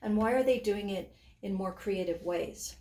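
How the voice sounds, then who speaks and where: A woman's voice: mid-range voice, moderate pace, darkish tone, reverberant. A woman in her forties, raised between Hong Kong and Canada, giving a conversational webinar presentation with frequent fillers and restarts. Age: 40s.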